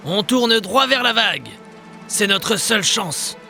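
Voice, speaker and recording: A man's voice: high-pitched, animated, measured pace, gravelly, bright, forceful tone, faint music behind, full character voice. A man voicing an adventurous, commanding ghost sea captain in a children's cartoon, with a hearty, theatrical delivery. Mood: urgent. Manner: hearty, theatrical, barks nautical orders.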